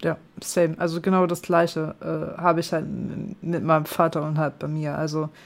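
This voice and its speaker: A man's voice: on the high side, completely flat delivery, normal speed, creaky. Hesitant northern German man chatting. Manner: hesitant, many fillers, laughs often. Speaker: podcast host. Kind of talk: casual chat.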